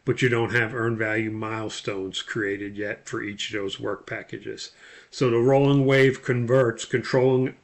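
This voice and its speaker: Man giving a halting instructional lecture. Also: on the low side, a bit bright. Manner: explanatory, halting delivery with frequent uhs. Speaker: course instructor. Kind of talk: instructional lecture on project management.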